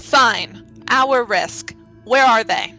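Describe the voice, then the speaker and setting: A woman's voice: a little high, drawn-out words, bright, forceful tone, lo-fi sound, soft background music, heavily acted. A woman playing a brash, wisecracking, sarcastic paranormal investigator in a comic audio drama. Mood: brisk, wry.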